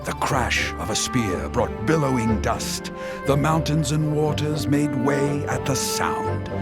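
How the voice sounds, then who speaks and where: A man's voice: medium pitch, slow. A man playing a dramatic traditional storyteller in a video game, telling legends in a theatrical manner. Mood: reverent. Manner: theatrical, swelling and hushing. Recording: loud music behind the voice.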